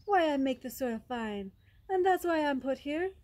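A woman's voice: high-pitched, lively intonation, normal speed, a little mellow. A woman voicing an earnest, hard-working little storybook worm with a sighing, protesting manner.

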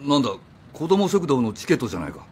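A man's voice: mid-range voice, big pitch swings, very fast, darkish tone, a little hiss or hum, lightly acted. A man playing an affable, easygoing middle-aged man in a radio drama. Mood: casual concern. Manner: affable, casual. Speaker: actor.

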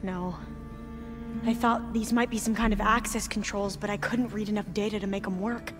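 A woman's voice: mid-range voice, steady tone, moderate pace, music in the background, close to an everyday voice. An actress playing an earnest, reverent young woman scholar in a video game, speaking formally and carefully. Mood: uncertain. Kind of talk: video game dramatic acting.

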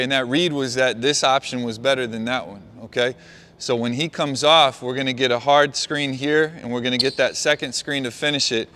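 A middle-aged man, a basketball coach, walking his players through a play on the court and calling out quick praise. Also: medium pitch, bright, forceful tone. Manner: talks players through a walk-through, calls out praise. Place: large indoor gym.

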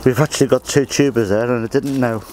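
A man's voice: medium pitch, fairly even intonation, fast. Middle-aged man, plain practical delivery, outdoor allotment, faint background hiss. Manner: plain, practical, talks while working. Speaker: allotment gardener. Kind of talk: casual how-to demonstration.

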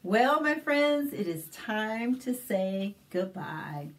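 A middle-aged American woman hosting a storytime, reading a picture book aloud to young children in an expressive, deliberate way with simple explanations. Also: medium pitch. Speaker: children's librarian and storytime host. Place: small indoor room, plain backdrop.

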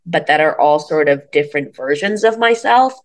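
Low-pitched woman from Bangladesh, chatty storyteller. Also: animated, normal speed. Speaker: comedian, interview guest. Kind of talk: casual conversational interview.

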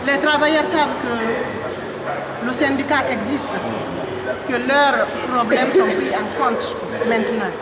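A high-pitched middle-aged woman from Guinea speaks into a reporter's handheld mic, earnest and insistent, hammering home her key phrases. Loud crowd chatter fills the indoor public hall behind her. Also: fairly even intonation, slow, heavy background noise. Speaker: trade union leader. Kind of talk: press interview answers. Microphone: handheld reporter mic held close.

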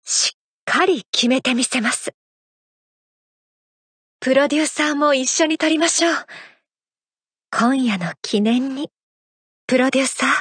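A woman voicing a graceful, playful young female idol in a mobile game, speaking soft, intimate and affectionate lines. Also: high-pitched, breathy edge, dry recording, full character voice. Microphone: studio mic.

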